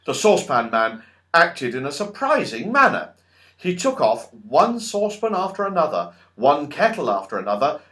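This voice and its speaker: Animated, high-voiced man reading a children's story. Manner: dramatic, emphatic storytelling. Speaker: audiobook narrator.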